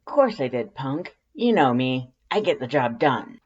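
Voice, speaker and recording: A reader voicing a brash, excitable young monster woman, loud and emphatic, in acted fan fiction dialogue. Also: medium pitch, unhurried, breathy edge, muffled recording. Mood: proud, gruff.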